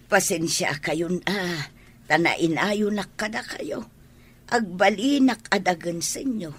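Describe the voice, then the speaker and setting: An actress in a radio drama playing an older mother who talks apologetically and gently. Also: on the low side, takes it slowly, slightly rough. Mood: humble. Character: older mother Nana Linda, humble and grateful. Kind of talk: Ilocano radio drama acting.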